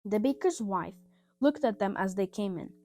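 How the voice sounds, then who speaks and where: A woman reading a scripted children's story aloud in an expressive, simple style, with music behind her. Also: mid-range voice, moderate pace. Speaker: storybook reader.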